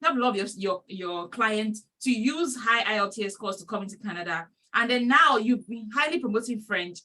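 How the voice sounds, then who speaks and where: Host from Nigeria, woman, bright tense tone, chatty with filler words. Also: a little high, expressive, fairly quick. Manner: chatty, with many "you know" fillers. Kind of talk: casual interview chat.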